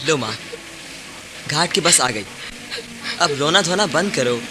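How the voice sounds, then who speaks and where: An actor playing an earnest, dutiful young grown son from a village in a radio play. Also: male voice, on the high side, lively intonation, slow pace, heavy background noise. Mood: earnest, consoling.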